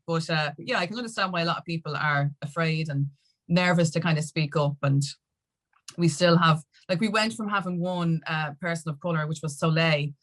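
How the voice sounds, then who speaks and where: An Irish woman talking as an interview guest in a rambling, halting, conversational way. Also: deep voice, fairly bright tone. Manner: rambling, halting, with many "you know"s. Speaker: podcast guest, activist.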